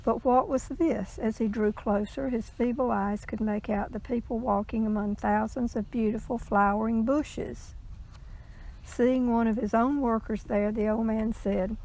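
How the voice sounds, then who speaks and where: A woman reading a legend aloud in a measured storytelling style, with the odd stumble. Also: a little high, fairly even intonation, darkish tone, rough recording.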